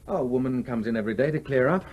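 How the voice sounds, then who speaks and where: An actor playing a charming, idle English gentleman in a 1970s radio play, suave and teasing with small hesitations. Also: mid-range voice, expressive, very fast, highs cut off, natural voice. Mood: genial, teasing. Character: charming, flirtatious, idle well-off English gentleman, restlessly bored.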